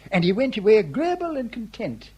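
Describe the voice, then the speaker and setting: A man's voice: sits high, big pitch swings, fairly quick, a little mellow, a little hiss or hum. A man playing a glib, evasive Victorian manservant in a period comedy radio drama, with hesitant, ornate deference. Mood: deferential, evasive.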